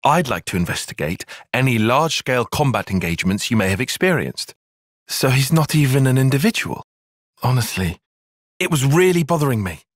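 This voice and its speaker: A man playing a cerebral, earnest young soldier and strategist in a video game, speaking in precise, formal, analytical language and quick to exasperation. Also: medium pitch, sing-song, no echo, studio quality. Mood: somber. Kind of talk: video game cutscene voice acting.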